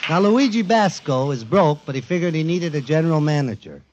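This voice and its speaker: A man presenting a show to a studio audience in an emphatic, informal, jokey warm-up style, on a 1940s radio recording. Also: fairly high pitch, expressive, a little fast, dull audio, a faint crowd. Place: before a live studio audience.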